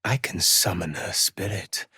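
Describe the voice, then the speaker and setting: Fantasy game necromancer judge: solemn, vengeful, menacing. Deepish, deliberate, archaic delivery, very dry close sound. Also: male voice, expressive, sharp tone, breathy edge, dry recording, heavily acted. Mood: solemn, persuasive. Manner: slow, formal, archaic, theatrical speech. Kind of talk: video game fantasy voice acting.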